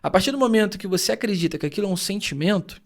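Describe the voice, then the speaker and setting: Earnest high-voiced man. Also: lively intonation, very fast. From Rio de Janeiro state, Brazil. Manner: earnest, thoughtful, explains at length. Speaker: podcast co-host. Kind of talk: reflective podcast conversation.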